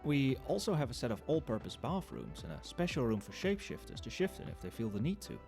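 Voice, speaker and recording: A man's voice: mid-range voice, sing-song, fast, creaky. A man playing a chatty, knowing nightclub barman in an ASMR roleplay, talking conversationally to the listener with wry understatement. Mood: pleased, explanatory. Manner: conversational, explanatory, with hesitations and understatement. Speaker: voice actor in an audio roleplay. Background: soft club music and nightclub ambience.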